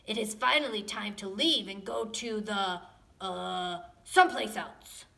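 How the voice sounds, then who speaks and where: Woman putting on a loud booming papa dinosaur. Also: a little slow, creaky. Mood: falsely casual. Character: loud, booming, scheming papa dinosaur, big adult male.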